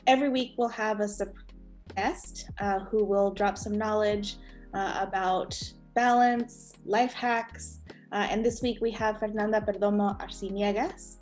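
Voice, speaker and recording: A woman hosts a workshop over a video call at a mid pitch, friendly in tone. She halts often on uhs between phrases, and faint music plays behind her. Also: fairly even intonation, a little mellow. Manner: friendly, halting with frequent uhs. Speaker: workshop host. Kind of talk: hosting an online workshop.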